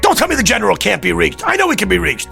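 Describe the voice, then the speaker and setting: A man's voice: high-pitched, wildly swooping pitch, very fast, creaky, music in the background, full character voice. An actor playing an agitated, desperate American man in a radio drama, pleading insistently in streetwise talk. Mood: frantic, desperate. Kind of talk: vintage radio drama acting.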